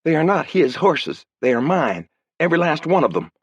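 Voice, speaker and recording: A man's voice: mid-range voice, sing-song, a little fast, no echo, voice pushed up. A man voicing a wary, bossy young woman on a frontier ranch. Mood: earnest. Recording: dull lo-fi dry audiobook recording.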